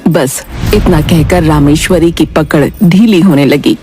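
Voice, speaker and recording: Slow-paced woman narrating a dramatic story. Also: low voice, background noise. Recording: music behind the voice.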